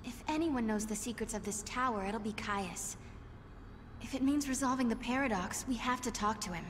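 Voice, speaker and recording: An actress playing an earnest, inquisitive young heroine in a video game. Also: female voice, fairly high pitch, moderate pace, in a large hall, slight background noise, natural voice. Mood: resolved. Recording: game music behind the voice.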